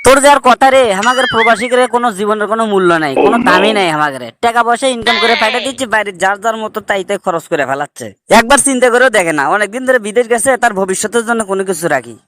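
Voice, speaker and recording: A fed-up cartoon migrant worker, acted out by a man with a notably high voice. He speaks northern Bangladeshi dialect and presses his grievances emphatically, like a friend with a scheme. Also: expressive, racing, few pauses, a crowd in the background. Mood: aggrieved. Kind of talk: comic cartoon dubbing in colloquial dialect.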